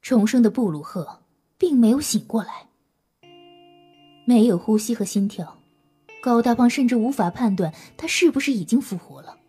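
A woman's voice: a little high, animated, moderate pace, long pauses, mellow, slightly rough, soft background music. A woman narrating a scripted audio drama as a storyteller, in a dramatic style.

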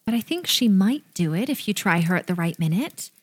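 A woman playing a kind young older sister giving advice in an audio play, gentle and coaxing. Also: mid-range voice, very animated, brisk, slightly rough, no echo. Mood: gentle, advising.

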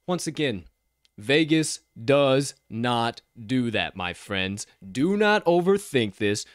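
A man's voice: medium pitch, very animated, slow, clear, resonant tone, no room sound, clean studio sound. A man co-hosting a sports betting show, giving picks in an emphatic, preachy way and repeating catchphrases. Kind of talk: energetic betting picks chat.

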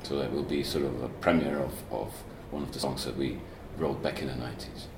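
A man with a very deep, low voice talks in a casual, halting way, full of fillers and restarts and the odd joke. The sound is roomy, with faint ambience of a coffee room behind him. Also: steady tone, darkish tone, a little hiss or hum. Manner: hesitant, many fillers and restarts, joking. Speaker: band member and guest. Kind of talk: casual interview chat. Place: university student coffee room.

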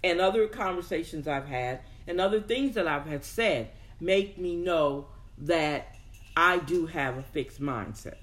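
Lady doing a self-improvement lesson, kinda low voice, really slow pace, stresses her points and asks questions. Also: a bit bright. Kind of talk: instructional talk, reading examples with personal asides.

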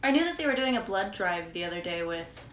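A young adult woman co-hosting a casual chat podcast, with a slightly scratchy voice, playful and quick to laugh. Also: fairly low pitch, animated, a bit bright. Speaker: podcast co-host, daughter. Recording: echoey room.

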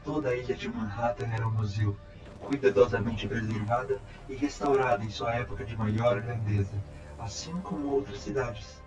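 A man's voice: mid-range voice, steady tone, darkish tone, natural voice. Echoey, formal male robot played by a man. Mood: calm, factual. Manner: formal, measured, polite reporting. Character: calm, courteous humanlike male robot time traveler. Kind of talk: audio drama acting. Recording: echoey, dull amateur sound, music behind.

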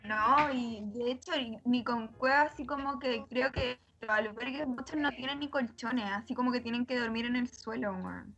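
High-voiced Chilean woman, slangy. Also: normal speed, vocal fry, hardly any pauses, fairly bright tone, slightly rough, lo-fi sound, soft background music. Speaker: podcast panelist. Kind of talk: casual chat with slang.

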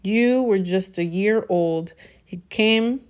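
A woman voicing a gruff but kindly giant man in a storybook, blunt and uneasy, with folksy clipped words. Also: mid-range voice, slow, close to an everyday voice. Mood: grave, uneasy. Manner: folksy, dropped-consonant dialect speech. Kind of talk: storybook character voice.

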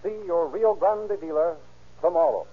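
A man's voice: fairly high pitch, measured pace, very noisy. An American man narrating and announcing in a formal, declamatory 1930s radio style. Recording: tinny, narrow 1930s radio recording.

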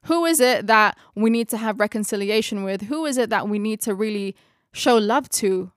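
A young woman with a Tagalog mother tongue leads a bible study in English, her voice sitting at a middling pitch. She speaks reflectively and pauses to search for the right word. The recording is close and dry, with no room sound. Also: animated, no room sound. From Philippines. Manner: reflective, searching for words, laughs at herself. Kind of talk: closing a bible study discussion.

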